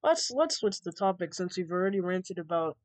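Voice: mid-range voice, very animated, fairly quick, no room sound. A podcast host from the United States ranting loosely about the news, rambling and laughing between lines. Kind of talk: casual podcast chat.

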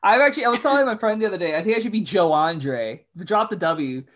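A man's voice: high-pitched, lively intonation, quick pace, background noise. A man in casual chat, joking around with playful boasts.

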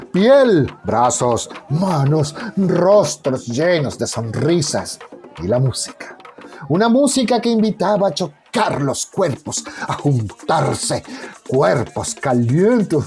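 A man's voice: on the high side, darkish tone. A man narrating a radio soap opera in a dramatic, florid, suspenseful scripted style.

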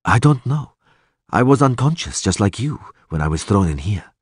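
Man as a male elf prisoner, sardonic, articulate, measured, husky, lively intonation, audiobook character. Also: lowish voice, no echo. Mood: gloomy, sardonic. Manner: dry sarcasm, measured, precise wording.

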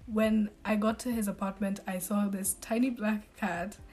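A woman's voice: medium pitch, steady tone, normal speed, darkish tone. Young woman, mid voice, casual story reader on a podcast, faint music bed. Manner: casual, storytelling, sympathetic asides. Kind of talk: reading a story aloud on a podcast.

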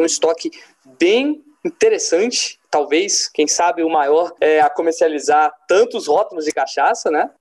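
A man with a Minas Gerais accent, an interview guest who explains his business in an easygoing way and often tags his sentences with a question. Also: on the high side. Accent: Minas Gerais Brazilian. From Brazil, born in Brasília. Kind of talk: relaxed interview answers.

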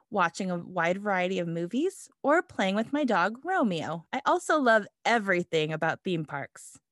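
A woman's voice: mid-range voice, no room sound, clean studio sound. A woman in her thirties from Los Angeles, introducing herself as podcast co-host in an upbeat, eager scripted read. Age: 30s.

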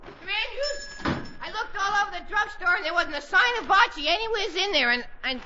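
An actor playing an excitable, melodramatic teenage boy, a goofy sidekick, in 1940s radio comedy style with exaggerated delivery. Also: very high-pitched, fairly quick. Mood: puzzled. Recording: noisy, muffled 1940s radio recording.

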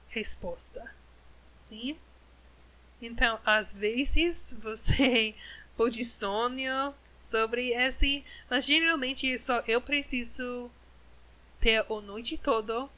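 A woman, an English native speaker, hosting a podcast in Portuguese, speaking slowly and carefully as a learner and correcting herself. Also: a little high, sharp tone, rough recording. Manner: slow, careful learner's speech with self-corrections. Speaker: podcast host. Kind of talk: casual podcast monologue.

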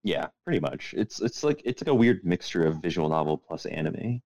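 A man's voice: low-pitched, expressive, brisk, gravelly, a little mellow, dry recording, highs cut off. A man co-hosting a casual podcast in a laid-back, hesitant way, often hedging what he says. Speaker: podcast co-host.

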